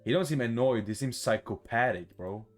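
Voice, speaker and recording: A man reading a visual novel aloud for a gaming video in a casual, expressive, sweary way, adding his own playful reactions. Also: mid-range voice, normal speed, soft background music.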